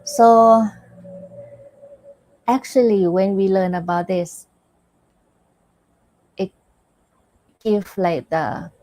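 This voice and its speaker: Slow, hesitant Thai-accented woman teacher. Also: mid-range voice, a little mellow, dry recording, rough recording. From Thailand. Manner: hesitant, searches for words with many fillers. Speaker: Buddhist nun teacher. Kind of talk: dharma teaching with live Q&A.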